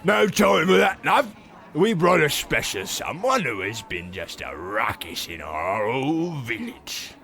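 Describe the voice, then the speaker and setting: A man's voice: medium pitch, sing-song, takes it slowly, creaky, sharp tone, heavily acted. A man playing a cocky, chatty little monstrous chimney sweep in an old-time radio horror comedy, telling tales with swagger and British slang. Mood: brash.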